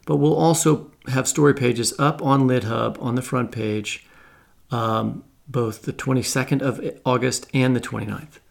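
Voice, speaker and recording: An American man co-hosting a podcast, explaining things conversationally with halting false starts. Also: medium pitch, creaky, a bit bright. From Kansas City, United States. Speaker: podcast co-host and novelist.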